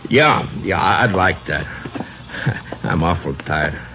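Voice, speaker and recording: A muffled, noisy old radio recording of a broken, lovesick man with a low voice. He is slow-witted and weary, stumbling over his words and repeating them like a child. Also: expressive, full character voice. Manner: hesitant, stammering, repeats himself. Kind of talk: old-time radio drama acting.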